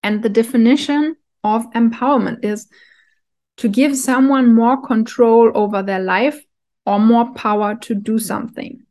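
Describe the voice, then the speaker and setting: Woman, kinda high voice, talks real slow and thoughtful, little soft laughs. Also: fairly even intonation. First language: German. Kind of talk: reflective solo talk.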